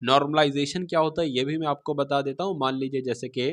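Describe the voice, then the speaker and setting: Higher-pitched man explaining notices. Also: fairly even intonation, quick pace, fairly bright tone. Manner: didactic, repeats and paraphrases, checks in with listeners. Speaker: exam-prep instructor and channel host. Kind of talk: explanatory notice read-through for students.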